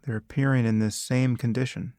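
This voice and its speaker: Male meditation guide, lowish gravelly voice, calm and measured, lots of space between phrases, close dry sound. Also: dry recording. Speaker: meditation teacher. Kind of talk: guided meditation, spoken instruction.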